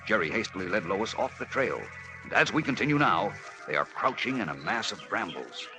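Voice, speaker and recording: A man's voice: lowish voice, very animated, brisk, over music, rough recording. Theatrical old-time radio announcer, man. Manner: theatrical, suspenseful. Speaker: announcer-narrator. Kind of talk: 1940s radio dramatic narration. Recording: vintage radio broadcast recording.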